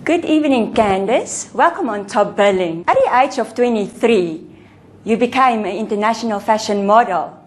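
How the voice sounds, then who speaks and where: A woman's voice: medium pitch, lively intonation, moderate pace. A woman with an Afrikaans accent, a television presenter asking an interview guest formal, polished questions. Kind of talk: clip of a broadcast interview.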